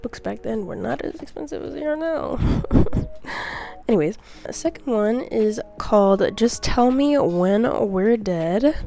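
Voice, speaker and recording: A woman's voice: a little high, animated, slow pace, vocal fry, mellow. Young woman, congested from a cold, bubbly and rambling, talks right into the mic, faint music behind. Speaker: book vlogger. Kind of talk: casual chatty book haul. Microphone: close mic.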